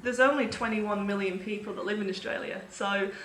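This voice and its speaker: A British-born woman in her thirties, now living in Australia, chatting as a guest in a relaxed interview with frequent ums. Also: medium pitch, brisk, reverberant, a little hiss or hum, rough recording. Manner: chatty, laughs easily, fillers like um. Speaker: guest, vegan podcast host. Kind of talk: casual interview chat.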